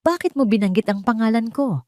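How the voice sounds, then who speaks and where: A woman's voice: fairly high pitch, lively intonation, fairly quick, warm tone, dry recording, pitched above the performer's own voice, natural voice. A tender, wounded woman in an audio romance, played by a woman reader. She sounds hesitant and guarded. The recording is very dry. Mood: anxious. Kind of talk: audio story dialogue.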